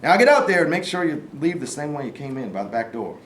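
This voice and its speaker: A man in a staged play reading, playing a gruff, scheming Southern man of authority with a folksy, commanding manner. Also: on the high side, big pitch swings, fast, a bit bright. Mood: firm, scheming. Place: live staged reading in a room. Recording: roomy, distant mic, faint noise.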